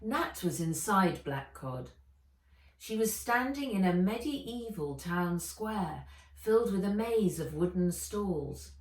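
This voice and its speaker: Unhurried, expressive woman reading a story, echoey. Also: fairly low pitch. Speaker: author reading their own book. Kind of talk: children's audiobook narration. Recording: roomy echo, distant mic.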